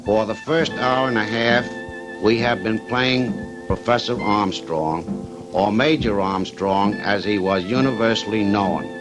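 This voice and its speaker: Low-voiced man, vintage radio announcer, formal, measured, music behind. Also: rough recording. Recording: 1950s radio archive with music.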